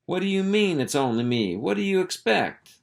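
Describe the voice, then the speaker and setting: A man's voice: high-pitched, big pitch swings, normal speed, creaky, voice pushed up, heavily acted. A man voicing a boastful, oblivious ranch dog in a storybook, with a folksy, self-important, blustering manner. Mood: defensive.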